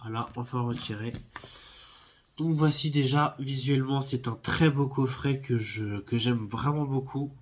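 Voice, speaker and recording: A man in the middle of a casual unboxing, his voice thick and stuffy from a head cold. He speaks at a medium pitch, friendly but halting, and often stops to search for the right word. His tone stays easygoing and chatty throughout. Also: a little hiss or hum. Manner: hesitant, lots of filler sounds, friendly. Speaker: film collector YouTuber.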